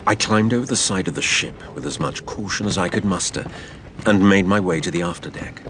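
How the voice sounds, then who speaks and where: A man narrating a dramatised adventure story in a theatrical, measured storytelling manner. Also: sits low, noisy background.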